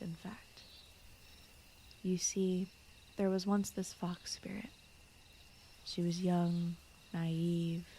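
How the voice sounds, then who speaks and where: Slow, hushed fox spirit voiced by a woman. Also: fairly low pitch, slight background noise. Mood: soothing, storytelling. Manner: soft-spoken, often slipping into whispers, rambling, apologetic. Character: gentle female fox spirit, shy forest guardian, soothing. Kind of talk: ASMR roleplay, bedtime storytelling. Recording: clear night cricket and forest ambience. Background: crickets, insects, night forest ambience.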